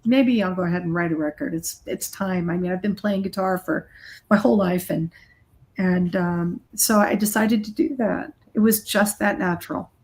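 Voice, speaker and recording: A woman's voice: lowish voice, normal speed, darkish tone. A middle-aged woman talking casually as an interview guest, candid and emphatic in a conversational way. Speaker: guest, rock guitarist and recording artist.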